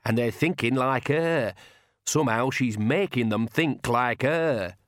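A man's voice: mid-range voice, unhurried, no echo, clean studio sound, lightly acted. A man voicing a feisty, blunt old country woman from a storybook, cheeky and snappish. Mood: artful.

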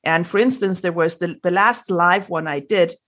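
A woman's voice: on the low side, moderate pace, a bit bright. A Danish woman, a native speaker of Danish with a Danish accent, speaking animatedly as a spiritual guest on a podcast, with sound effects and many restarts. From Denmark. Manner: frequent restarts and fillers. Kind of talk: podcast interview.

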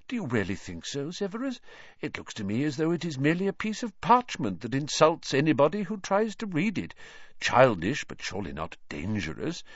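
A man reading, voicing a calm, gentle male professor who speaks mildly and evenly. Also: a little high, no echo, dull audio, pitched above the performer's own voice. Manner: mild, measured, soft-spoken.

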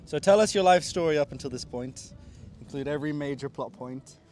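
A man's voice: a little high, brisk, a little mellow. A young man hosting a podcast in a casual, playful, wry interview style. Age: young adult. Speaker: podcast host.

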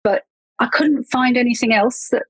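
A woman's voice: on the high side, normal speed, husky, no room sound. A middle-aged Englishwoman chatting as a podcast guest, laughing often and trailing off with fillers and restarts. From England. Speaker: podcast guest, marketing consultant. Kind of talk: casual interview chat.

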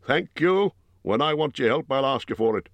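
Man doing a rough booming bear-man host, higher and louder than his own voice, dry close sound. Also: lively intonation, normal speed, no room sound, dull audio, lightly acted. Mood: gruff. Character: gruff huge bear-man host, blunt and impolite.

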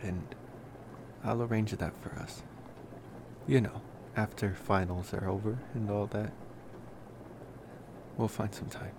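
Male actor as affectionate college vampire for ASMR roleplay: low, creaky, soft-spoken, hesitant, wistful, over clear background noise. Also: fairly even intonation. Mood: tender, curious. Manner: hesitant, rambling, soft-spoken with pauses and sighs. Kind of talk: ASMR roleplay, intimate personal attention.

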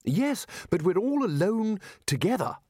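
Man acting a booming, bossy old wizard, lively pitch. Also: a little slow, no echo, studio quality. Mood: urgent, embarrassed. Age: older. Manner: pompous, exasperated, takes charge with hesitant ums. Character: booming, bossy elderly male wizard, head of a university.

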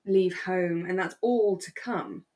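A woman's voice: on the low side, slow, a little mellow. A woman co-hosting a podcast in casual, reflective chat, hedging and thinking aloud as she goes. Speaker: podcast co-host. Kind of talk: casual review chat about a radio drama.

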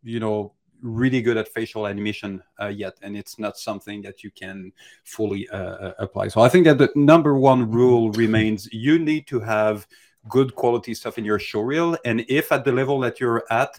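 A man giving unscripted advice as a mentor in a Q&A, speaking in a hesitant, halting way as he thinks aloud. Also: fairly low pitch, darkish tone.

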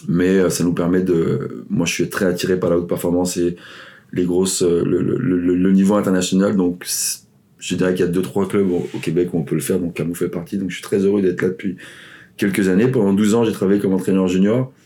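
A man's voice: low voice, flat delivery, a little fast, warm tone. A man in his forties, raised in the Ivory Coast and Paris, a swim coach telling his story as a guest, rambling with lots of fillers and restarts. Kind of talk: casual interview chat.

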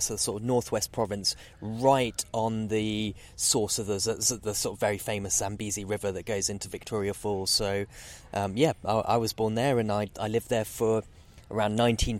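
A man's voice: fairly low pitch, level tone, normal speed. A man, answering interview questions in a rambling way with lots of fillers. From Zambia. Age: 40s. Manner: rambling, many fillers and restarts. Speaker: guest oceanographer. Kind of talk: casual interview.

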